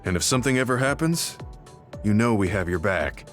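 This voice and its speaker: A man acting as a composed game squadmate with a fairly low voice. He talks in measured, supportive tones, with quiet music behind him. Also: expressive, close to an everyday voice. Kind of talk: video game cutscene acting.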